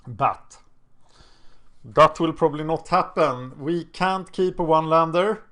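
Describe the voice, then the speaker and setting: A man's voice: a little high, a little slow, fairly bright tone. A man with a Swedish accent, a native Swedish speaker, thinking aloud and chatting as he commentates his own card game.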